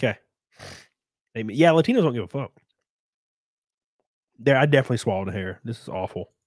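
A man in his thirties hosting a casual live stream from a small home room, close on a boom mic, joking crudely and sounding congested from a cold. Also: expressive, brisk, a little mellow, no room sound. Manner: crude, joking, laughs often. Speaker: livestream host. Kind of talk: casual live-stream chat reading donations. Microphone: studio mic on boom arm, close.

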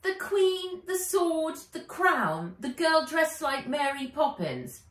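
A woman voicing a bossy, lively schoolgirl in a children's story, brisk, teasing and emphatic. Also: high voice, voice pushed up, heavily acted. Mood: incredulous. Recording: roomy, distant home camera sound.

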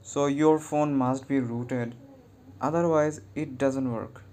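Mellow, even-toned man giving tutorial instructions. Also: medium pitch, takes it slowly, a little hiss or hum. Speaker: tech tutorial host. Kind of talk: scripted how-to narration.

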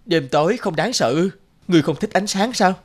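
A man voicing a resentful, lonely young spirit of night in an animated fairy tale, brusque and emphatic. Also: high-pitched, brisk. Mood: puzzled. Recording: prominent music under the voice.

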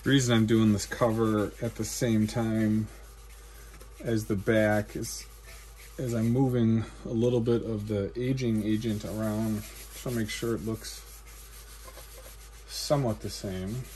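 A man in his forties, a guitar builder, calmly explaining each step of his work in a matter-of-fact how-to narration in his workshop. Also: fairly low pitch, measured pace, long pauses, a little hiss or hum. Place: cluttered workshop indoors.

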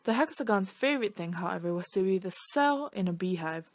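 A woman reads a children's storybook aloud with expression. Her voice sits at an ordinary female pitch, and the sound is dry, with little room around it. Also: lively intonation, brisk, no room sound. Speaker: reader of a children's picture book.

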